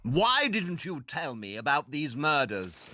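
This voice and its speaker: Brusque genius detective played by a man, piercingly bright and high, highly animated, for theatrical audio drama. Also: unhurried, full character voice. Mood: exasperated. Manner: sharp, emphatic, exasperated. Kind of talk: theatrical audio play acting.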